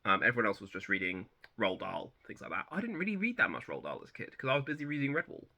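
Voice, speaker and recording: Very bright-toned man in his thirties. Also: fairly low pitch, fast. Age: 30s. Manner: relaxed and rambling, with frequent self-corrections. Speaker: book channel host. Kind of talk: casual personal chat to camera.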